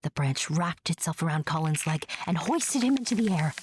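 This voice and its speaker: A woman playing a chatty, whimsical narrator in a fantasy audio drama, breathy and confiding, with playful asides. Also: sits low, expressive, normal speed, heavy background noise. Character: chatty, whimsical storyteller fond of her enchanted forest.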